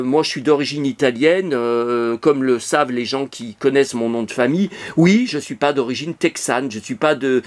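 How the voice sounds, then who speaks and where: A man in his apartment talking casually to camera about his collection, digressive, with many hesitations and restarts. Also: on the high side. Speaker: collector vlogger. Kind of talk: rambling casual monologue to camera. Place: cluttered apartment, indoors.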